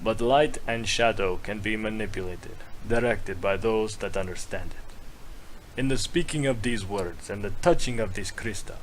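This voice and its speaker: A man voicing a serene, wise otherworldly elder, speaking in a measured, solemn, mystical manner. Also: fairly low pitch, a little hiss or hum, natural voice, rough recording. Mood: calm, solemn.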